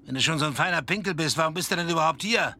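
An actor playing a gruff, blunt seaman in a radio play, teasing and mocking with salty sailor talk. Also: fairly high pitch, rapid-fire, bright, forceful tone, slight background noise.

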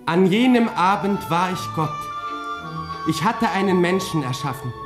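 Earnest, higher-voiced young lieutenant. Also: male voice, expressive, a little slow, loud music behind the voice. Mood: solemn, moved. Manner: hesitant, earnest, formal. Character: earnest, conflicted young lieutenant, soft-hearted and evasive. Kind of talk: German radio drama acting, part first-person narration.

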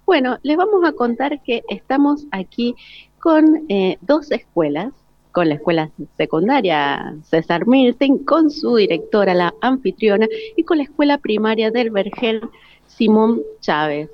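A woman's voice: fairly high pitch, sing-song, measured pace, no echo. A woman with an Argentine accent presenting informally as a live radio host on location. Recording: dry, dull lo-fi broadcast.

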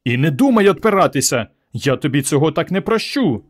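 A man's voice: fairly high pitch, sing-song, a little fast, pitched above the performer's own voice. A man voicing an angry village mother in a story, scolding and wailing with indignation. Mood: angry, threatening.

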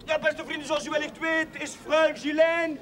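An actor playing a young village priest in a television period drama, slyly persistent, with hesitant, pointedly polite speech. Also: male voice, extremely high pitch, flat delivery, a little fast, a loud crowd, very noisy. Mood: public announcing. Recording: 1970s TV soundtrack with loud music.

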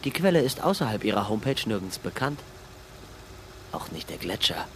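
An actor in a radio play playing a suspicious political aide who probes in a terse, insistent way. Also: male voice, fairly low pitch, sing-song, brisk, gravelly. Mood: probing, accusing. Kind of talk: naturalistic radio drama acting.

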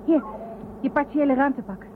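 An actress playing a cautious, earnest young space explorer in a science fiction radio drama. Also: female voice, sits high, moderate pace, lightly acted. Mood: businesslike. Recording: thin phone-like band, clearly noisy.

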